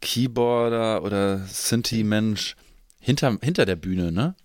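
Man, podcast co-host, casual chat, fairly low pitch, dry close sound. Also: unhurried, dry recording.

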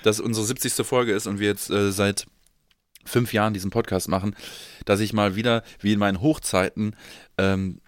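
A man's voice: lowish voice, steady tone, normal speed, no room sound. Casually rambling man, dry close sound. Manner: rambling, jokey storytelling. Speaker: podcast host. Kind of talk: casual podcast banter and anecdotes.

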